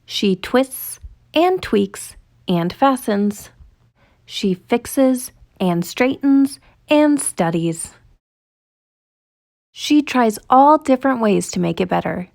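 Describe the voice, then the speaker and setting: A woman reading a children's picture book aloud in an expressive, deliberate storytelling manner, stretching words for emphasis. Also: medium pitch, vocal fry. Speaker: storytime reader.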